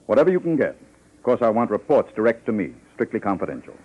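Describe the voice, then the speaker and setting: Rich newspaper publisher, discreet, formal, lowish animated voice, quick pace, old radio drama. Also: male voice, husky. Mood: businesslike. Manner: hesitant, discreet, formal, with pauses. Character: wealthy, guarded, businesslike male newspaper publisher. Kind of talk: 1950s radio drama acting. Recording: slightly muffled old radio recording.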